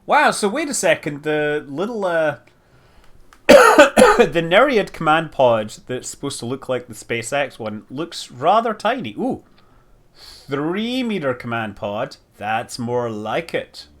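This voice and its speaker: Slow-paced man muttering with swooping pitch. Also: huge pitch swings, a bit bright. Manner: rambling, muttering to himself, exclaiming over details. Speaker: streamer playing a space building game. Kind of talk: casual live stream commentary, thinking aloud.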